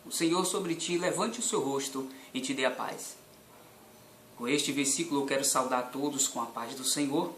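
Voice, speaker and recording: A young man from Bahia with a Bahian Brazilian accent, a deacon preaching informally and earnestly to camera, repeating his points and building from quiet to fervent. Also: mid-range voice, moderate pace, slight background noise. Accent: Bahian Brazilian Portuguese. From Brazil, Salvador, Bahia. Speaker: deacon and evangelist. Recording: echoey room with faint ambience.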